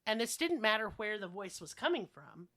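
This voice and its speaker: A woman's voice: mid-range voice, sing-song, normal speed, bright, forceful tone. A woman hosting a podcast while sick, telling stories in a casual, chatty, joking way with slang and swearing. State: sick, coughing.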